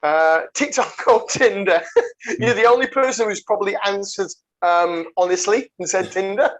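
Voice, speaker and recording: A man hosting a casual podcast over a video call, jokey and quick to laugh, calling his guest mate. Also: high voice. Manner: jokey, laughs often, calls people mate. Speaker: podcast host.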